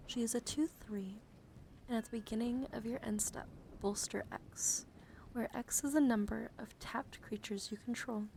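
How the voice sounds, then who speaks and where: A woman streamer speaking softly and playfully in an ASMR style, close to the microphone, her breathy voice often dropping into a whisper. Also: fairly high pitch, steady tone, moderate pace, rough recording. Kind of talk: soft-spoken ASMR card showcase. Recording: clear background noise.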